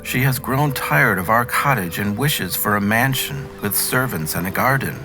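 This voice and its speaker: A man's voice: mid-range voice, lively intonation, normal speed, over music, voice pushed up, close to an everyday voice. A man voicing a meek, humble old fisherman in a storybook, earnest and deferential in his pleading. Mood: loud pleading.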